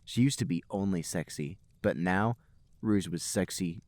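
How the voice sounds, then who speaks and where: A man's voice: low voice, a little slow, darkish tone, no echo. A man hosting a podcast, giving a comic dramatic reading of amateur fiction with mock-serious storytelling. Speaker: podcast host and reader.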